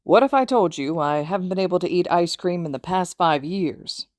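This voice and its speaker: A woman's voice: on the low side, lively intonation, moderate pace, a little mellow, no room sound, natural voice. Woman as a genial older man, hearty mentor, slightly formal, audiobook character, dry recording. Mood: grumbling, playful.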